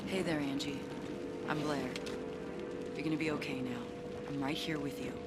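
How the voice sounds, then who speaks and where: A woman playing a steady, reassuring resistance fighter in a video game cutscene. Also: sits low, moderate pace, over loud music, natural voice. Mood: soothing.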